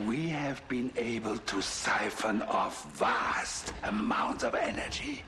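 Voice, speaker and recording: Video game villain: sadistic German mad scientist, middle-aged, obsessed. Deliberate pace, widely swinging pitch. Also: male voice, bright, forceful tone, a little hiss or hum, exaggerated voice. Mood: grave, awed. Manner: theatrical, grandiose, menacing, deliberate. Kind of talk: dramatic monologue in a video game cutscene.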